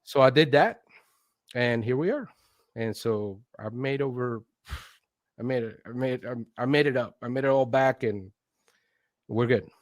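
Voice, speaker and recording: A man's voice: medium pitch, a little slow, long pauses, no echo. Guy late 40s, spanish speaker, chatty storytelling, quotes his own thoughts, keeps checking in, dry sound no room. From Venezuela. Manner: animated self-quoting, often checks in with "right?". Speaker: interview guest, consultant in instructional design. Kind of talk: conversational personal storytelling.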